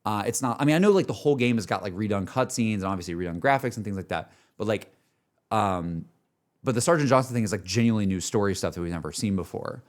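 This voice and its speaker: A man's voice: fairly low pitch, lively intonation, quick pace. A man streaming games who talks casually and candidly to his chat, rambling as he thinks aloud without a script. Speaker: game streamer talking to chat. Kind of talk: casual livestream chat, unscripted.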